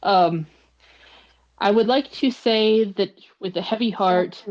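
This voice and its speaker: A woman's voice: medium pitch, slow, darkish tone. Hesitant woman on a lo-fi podcast. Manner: hesitant, many fillers. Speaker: podcast panelist. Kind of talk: casual chat.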